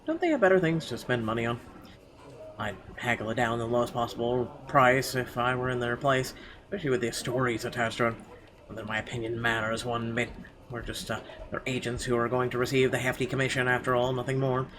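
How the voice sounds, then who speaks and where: A man's voice: mid-range voice, fairly quick, background noise. A man doing a let's play, reading narration aloud with some stumbles and throwing in sarcastic asides. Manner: sarcastic asides, stumbling over read text.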